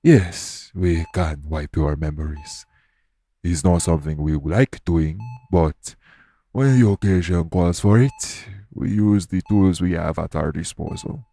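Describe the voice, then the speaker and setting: A man playing a calm, courteous iguana-shifter archaeologist in an audio roleplay, speaking in a formal, measured, reassuring way. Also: sits low, gravelly, exaggerated voice. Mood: measured, explanatory. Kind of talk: audio roleplay acting addressed to the listener.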